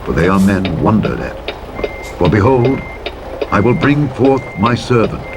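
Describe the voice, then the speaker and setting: Low-voiced man solemnly reading scripture over engine noise. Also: sing-song, unhurried. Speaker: scripture narrator. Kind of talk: solemn Bible reading, King James English. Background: truck driving sounds.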